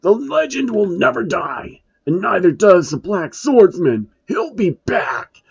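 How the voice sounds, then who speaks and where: Huge bombastic monster villain played by a male narrator, hammy and boastful, pitched up, dull recording. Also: very animated, measured pace, vocal fry, husky, exaggerated voice. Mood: raging, boastful. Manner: loud, hammy, strained shouting.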